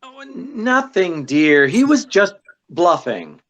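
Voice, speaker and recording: A man's voice: high voice, sing-song, takes it slowly, fairly bright tone, highs cut off, heavily acted. A man playing a suave, wry, affectionate detective husband in 1940s radio acting style. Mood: reassuring. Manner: wry, debonair.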